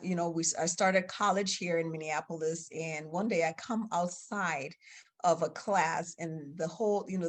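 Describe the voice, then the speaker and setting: Chatty woman from Liberia. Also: lowish voice. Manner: chatty, storytelling with many fillers. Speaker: guest, children's book author. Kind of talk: casual interview.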